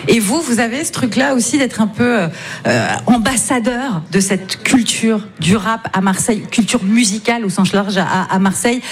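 A woman's voice: on the high side, moderate pace, slight background noise. A woman presenting as a radio host, interviewing live outdoors at a festival.

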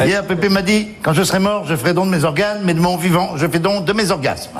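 A man telling cheeky family jokes in a comic monologue, with deadpan punchlines. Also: high voice, quick pace, heavy background noise. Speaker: comedian.

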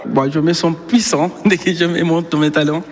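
Cheerful male dance teacher, high voice, hall echo and loud music behind. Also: steady tone, normal speed. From Venezuela. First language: Spanish. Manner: cheerful, laughs often, counts beats out loud. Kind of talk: casual interview and dance lesson. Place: dance studio with parquet floor. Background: dance music playing.

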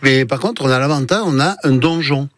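A man's voice: medium pitch, brisk. A man from Nice, a guest on a live radio show, chatting casually and reminiscing with a laugh. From Nice, France.

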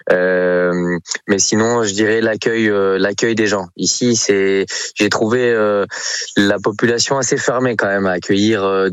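Belgian guy, slight accent, lowish voice, chatting on a radio interview, kinda searching for words, dry close sound. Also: no room sound. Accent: faint Belgian. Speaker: interview guest, real estate agent.

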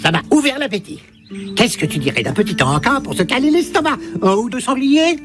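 A man voicing a jolly, hungry, teasing warrior in a dubbed animated film. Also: very high voice, a little fast, music in the background. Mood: eager, teasing.

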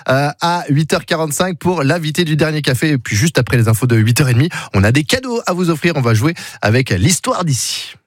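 A man's voice: on the high side, lively intonation, brisk, hardly any pauses, no room sound, clean studio sound. A man hosting a morning radio show, upbeat and conversational between segments.

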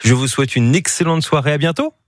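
A man's voice: fairly high pitch, fast, no echo. Male radio host, playful and teasing, hesitant fillers, dry close studio mic. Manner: jokey and teasing, with many hesitations. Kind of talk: live radio chat with a caller.

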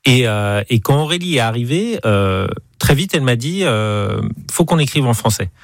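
A man, a singer-songwriter chatting casually in an interview, halting and repeating his words. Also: medium pitch, animated, no echo, studio quality.

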